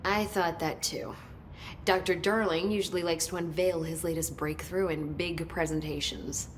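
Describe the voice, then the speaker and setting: A woman's voice: fairly low pitch, steady tone, normal speed, a little hiss or hum, lightly acted. A woman playing an eager, talkative research scientist in a video game, explaining technical details keenly. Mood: enthusiastic.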